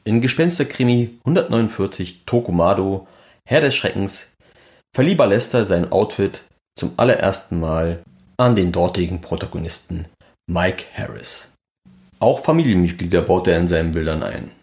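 Male podcast presenter, deep voice, scripted documentary-style narration. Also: expressive, normal speed, a little mellow.

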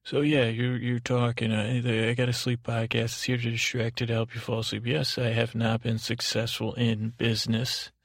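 A man's voice: mid-range voice, monotone, normal speed, few pauses, dry recording, clean studio sound. A man hosting a sleep podcast, rambling in a soothing, lulling tone with a hesitant, digressive, self-deprecating manner. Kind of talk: rambling, meandering bedtime monologue.

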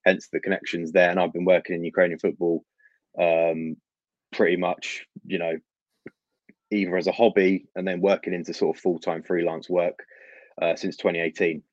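A young man with a London accent and a very low, deep voice talks through his work as a guest journalist, measured and explanatory, with small laughs. The sound is dull, dry and lo-fi, as if from a video call. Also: little pitch movement, no echo. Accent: London English. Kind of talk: casual interview chat.

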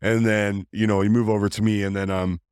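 A man who hosts a podcast, talking casually in a deep voice, rambling with fillers and restarts. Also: flat delivery, brisk, darkish tone, clean tone, no room sound, studio quality. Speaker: podcast host. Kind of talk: casual interview chat.

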